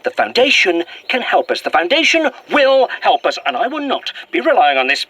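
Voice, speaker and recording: A man's voice: sits high, sing-song, normal speed, bright, forceful tone, slightly rough, heavily acted. An actor with a British accent playing an irritable, sceptical man on the run in a horror audio drama, exasperated and sarcastic. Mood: angry, bitter.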